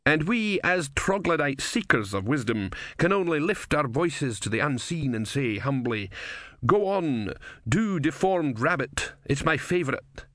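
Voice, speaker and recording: A cheerful, pompous philosopher put on by a man. He rambles grandly, his pitch swooping high as he muses, in the manner of a storybook character. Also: very animated, a little slow, fairly bright tone, dry recording, studio quality. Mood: grandiloquent. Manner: musing, grandiloquent, amused. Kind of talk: audiobook character voice.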